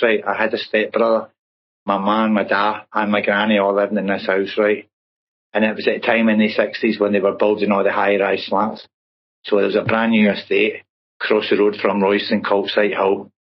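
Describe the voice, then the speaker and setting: A man's voice: on the low side, muffled recording. A man in his fifties with a Glaswegian accent, telling his life story as an interview guest in a chatty way, often adding "right?". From Scotland. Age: 50s. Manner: chatty, peppers speech with "right?".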